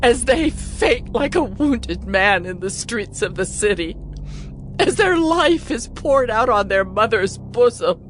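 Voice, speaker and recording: A woman's voice: a little high, animated, slow, a little hiss or hum. A woman performing a dramatized scripture lament, anguished and pleading. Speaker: narrator of a lament.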